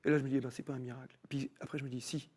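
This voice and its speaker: A man's voice: medium pitch, very fast. A man, a musician guest in a studio, telling a personal faith testimony and acting out the voices he quotes. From France. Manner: animated storyteller, acts out quoted voices. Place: TV studio set.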